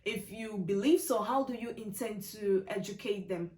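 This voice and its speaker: A woman's voice: medium pitch, moderate pace, mellow. Upbeat woman host in an echoey room. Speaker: interview host. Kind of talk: hosting an online interview. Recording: echoey room with faint music.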